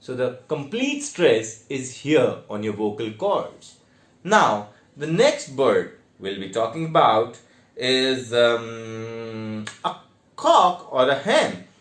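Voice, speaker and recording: Indian man teaching slowly in an echoey room. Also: mid-range voice, sing-song, a bit bright. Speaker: theatre teacher. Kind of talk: instructional online lesson with demonstrations.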